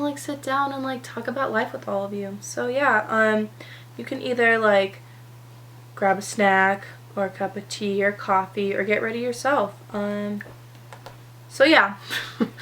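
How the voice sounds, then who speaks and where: Hoarse-ish teen girl vlogger, high-leaning voice, rambling casual chat, faint hum behind. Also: bright, forceful tone. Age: teenager, 17. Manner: rambling, lots of "um" and "like". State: slightly hoarse from a cold. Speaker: beauty vlogger. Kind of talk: chatty get-ready-with-me vlog.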